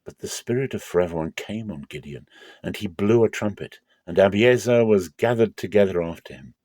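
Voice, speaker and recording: A man's voice: on the low side, a little fast, a little mellow, no echo. A man narrating scripture as a dramatic reading, with solemn, theatrical storytelling.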